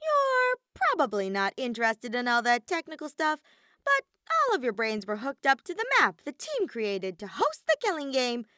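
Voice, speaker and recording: A woman's voice: high voice, wildly swooping pitch, normal speed, pushed and bright, no echo, studio quality, voice pushed up, exaggerated voice. A woman voicing a mischievous, flippant robotic bear villain in a dramatic audiobook reading, theatrical, grumbling and cartoonish. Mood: casual. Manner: matter-of-fact, theatrical, grumbling.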